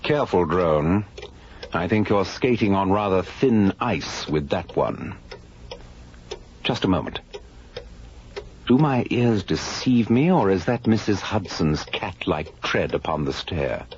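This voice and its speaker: Low, slow, pompous detective. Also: male voice, expressive, darkish tone, exaggerated voice. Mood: smug, deliberate. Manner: theatrical, deliberate, pompous. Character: pompous, self-assured British consulting detective. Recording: muffled, hissy radio recording.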